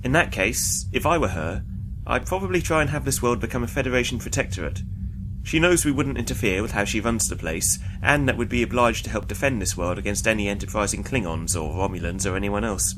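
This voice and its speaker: An actor playing a dutiful, pragmatic starship officer with a formal, matter-of-fact manner in a sci-fi audio drama. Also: male voice, lowish voice, expressive, a little fast, background noise, close to an everyday voice. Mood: speculative.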